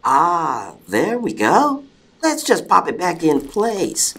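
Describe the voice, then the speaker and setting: An actor playing a doting, sardonic henchman to an undead villain in an audio comedy drama, with a husky voice. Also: medium pitch, huge pitch swings, slow pace, a bit bright, full character voice. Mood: doting, fussing.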